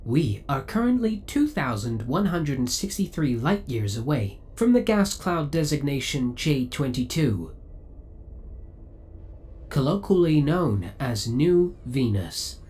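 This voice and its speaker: Spaceship AI , played by a man: formal, courteous, politely obsessive, with animated pitch swings, for an ASMR roleplay. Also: slow pace. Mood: concerned, attentive. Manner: formal, courteous, precise servant-like delivery. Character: devoted, possessive male spaceship AI, politely obsessive.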